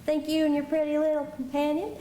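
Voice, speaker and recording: A woman's voice: high-pitched, completely flat delivery, fast talker, mellow, lightly acted. A woman acting a teasing, chirpy frontier townswoman in a western radio drama. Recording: echoey auditorium with faint noise.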